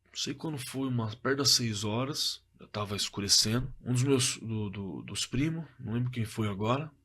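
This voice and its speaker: A man playing a shaken witness in an audio drama, recounting a frightening encounter in a halting, stammering, conversational way. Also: medium pitch, sharp tone, slightly rough. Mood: shaken, haunted. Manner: halting, stammering, repeating himself.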